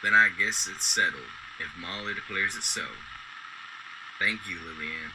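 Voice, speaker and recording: A man playing a gentle, lonely, courteous man in an old-time radio drama, speaking in a polite, folksy way. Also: sits low, moderate pace. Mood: kindly. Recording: noisy background.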